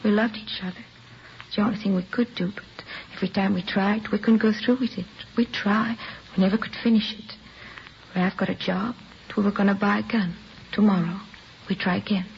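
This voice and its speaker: A woman's voice: mid-range voice, fairly even intonation, fairly quick, gravelly, close to an everyday voice. An actress playing an evasive, weary young mother under questioning in a vintage radio crime drama, defensive and pleading. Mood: resigned, sorrowful. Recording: dull, noisy vintage radio recording.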